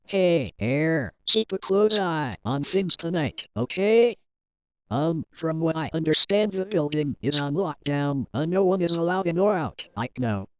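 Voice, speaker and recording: A man's voice: on the high side, dry recording, highs cut off. A synthetic male computer voice reading written transcripts aloud with stilted, mechanical phrasing. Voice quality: synthetic, robotic. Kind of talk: text-to-speech read-aloud of written game transcripts. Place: computer-generated, no room.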